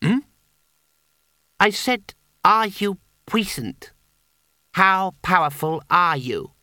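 Guy putting on a spooky calm little boy, higher and slow, dead steady. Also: no room sound, clean studio sound, exaggerated voice. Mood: defiant. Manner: slow, deliberate and unflinching. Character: young boy, calm, unblinking and challenging.

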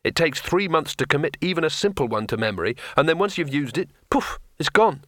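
A male narrator voicing a hapless, anxious wizard who grumbles and pities himself, pitched a little above his own voice. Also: sing-song, brisk, a bit bright, dry recording, clean studio sound. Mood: gloomy. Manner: gloomy, self-pitying complaints.

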